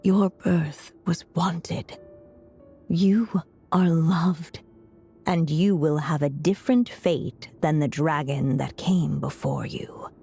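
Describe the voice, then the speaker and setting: A tender witch mother narrates a game's storybook with great solemnity. Her voice is low and very slow, each phrase deliberate. It rings in a long reverb over music. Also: vocal fry, dark tone, slightly rough, heavily acted. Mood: tender, resolute.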